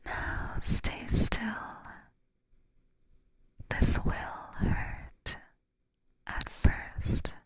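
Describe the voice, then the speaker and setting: A woman voicing a possessive, aristocratic vampire catgirl in a slow, seductive, teasing whisper for an ASMR roleplay, close on a binaural microphone. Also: fairly high pitch. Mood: commanding, intimate. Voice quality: breathy whisper. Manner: slow, formal, coaxing.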